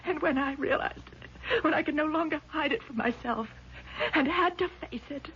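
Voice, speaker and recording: A high-pitched, intense woman's voice a haunted, accusing sister on the edge of breakdown. She delivers it with emphatic melodrama in a vintage radio play, on a muffled tape with some noise behind her. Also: normal speed, a bit bright. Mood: bitter accusation. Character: distraught, accusing sister, grieving and frightened, on the edge. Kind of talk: 1970s radio drama acting. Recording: dull, noisy vintage radio recording.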